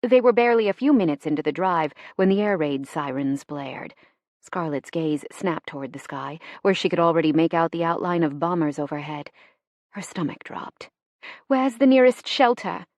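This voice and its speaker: A woman reading fiction as an audiobook narrator in an expressive, measured, dramatic storytelling style. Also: low-pitched, dry recording, studio quality.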